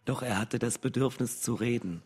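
A man narrating a literary radio play as a sober storyteller in a measured, restrained manner. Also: mid-range voice, darkish tone, husky, close to an everyday voice.

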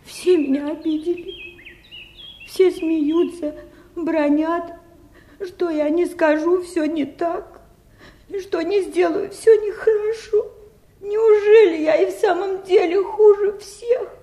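A woman playing a gentle, naive young princess in a fairy-tale audio play, childlike and artless in manner with breathy exclamations. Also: extremely high pitch, fairly even intonation, slow, reverberant. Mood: tearful. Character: gentle naive young princess, tearful, self-doubting, easily delighted. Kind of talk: theatrical fairy-tale radio play acting.

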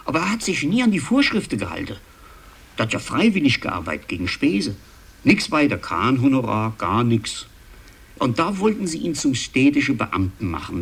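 An actor playing a chatty local man, husky and rambling, recounting gossip conversationally in a 1960s radio drama and seeking the listener's agreement. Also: mid-range voice.

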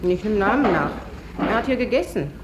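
An actress playing a familiar, gossipy tavern waitress in a 1950s radio drama, answering casually. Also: female voice, medium pitch, animated, brisk, close to an everyday voice. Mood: chatty, offhand. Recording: 1950s radio recording, faint hiss.

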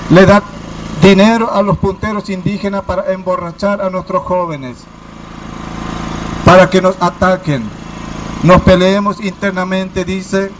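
Impassioned high-voiced man giving a protest speech. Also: a little hiss or hum. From Formosa province, Argentina. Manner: impassioned, rhetorical questions, raised voice.

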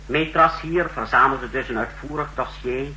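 An actor playing an ambitious, self-assured secret police chief in a formal, clipped manner in a 1960s radio drama. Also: male voice, medium pitch, moderate pace, pushed and bright. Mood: formal, expository. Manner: formal, clipped military address. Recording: noisy 1960s radio play recording.

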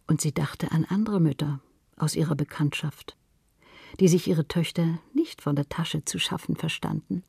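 A woman's voice: deep voice, normal speed, creaky, dark tone, slightly rough, no echo, clean studio sound. A woman reading literary prose as a scripted narrator.

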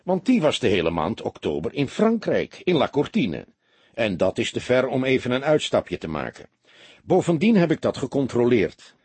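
A man voicing an older, experienced police detective in an audiobook, a patient mentor who explains deliberately with mild reproach. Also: mid-range voice, huge pitch swings, darkish tone, husky, no room sound. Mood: knowing, calm. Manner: didactic, deliberate, mildly reproachful. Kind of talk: audiobook character dialogue.

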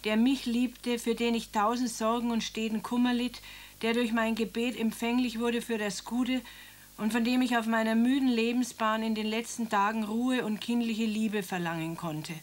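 A woman's voice: fairly high pitch, level tone, moderate pace, lightly acted. An actress playing an elderly grieving mother who reads her son's letter and then talks about him in homely, rambling speech. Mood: sorrowful. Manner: plain homely speech with tag questions. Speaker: mother of Karl. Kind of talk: radio drama acting.

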